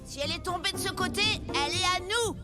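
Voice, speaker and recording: A pushy little cartoon bear guarding its turf, very high voice, music behind. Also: female voice, fast. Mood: menacing, bossy. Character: territorial, bossy young bear in a cartoon. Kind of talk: animated children's cartoon acting.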